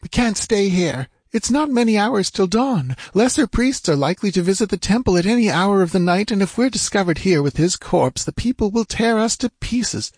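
Guy doing a desperate bossy young woman for an audiobook, voice way high, husky, urgent. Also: animated, moderate pace, hardly any pauses, darkish tone, no echo. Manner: urgent, imperious.

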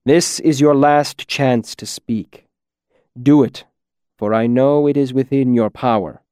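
A man's voice: mid-range voice, a little mellow, smooth, no room sound, studio quality, natural voice. Man playing a stern, pitiless fantasy lord. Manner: slow, deliberate, commanding. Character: stern, pitiless lord, captor and judge of a demon.

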